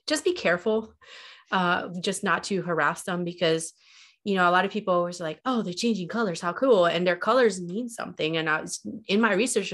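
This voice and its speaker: A woman's voice: on the low side, fairly bright tone. Stuffy-nosed woman explaining casually. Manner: easygoing, many fillers and "like". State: stuffy nose. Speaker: guest. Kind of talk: casual podcast chat.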